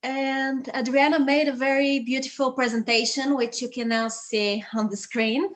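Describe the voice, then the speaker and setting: A woman's voice: sits high, fairly even intonation, moderate pace. A woman whose first language is Bulgarian, co-hosting a casual interview about languages and explaining her own language background. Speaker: co-host. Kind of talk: casual video call interview.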